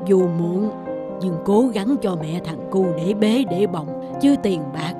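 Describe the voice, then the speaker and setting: A woman voicing a worried, suspicious elderly mother-in-law with a reproachful manner in an animated drama. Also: on the low side, slow, over music. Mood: coaxing, fretful. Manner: fretful, reproachful. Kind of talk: animated moral drama voice acting.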